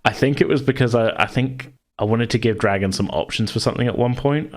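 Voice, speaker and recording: A man's voice: mid-range voice, a little fast, background noise. A man who hosts a podcast and runs games, chatting casually and rambling as he thinks aloud. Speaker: podcast host and game master. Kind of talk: casual chat.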